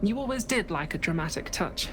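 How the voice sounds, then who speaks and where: A woman's voice: on the low side, animated, a little fast, background noise, natural voice. A woman playing an amiable, slightly fussy attendant in video game dialogue. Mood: friendly. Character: fussy, amiable attendant chatting about a new look. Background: game music.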